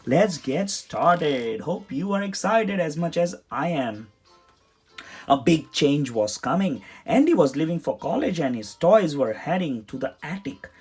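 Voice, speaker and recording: A man's voice: a little high, animated, unhurried, darkish tone, husky. A man narrating a children's storybook as a read-aloud. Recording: music behind the voice.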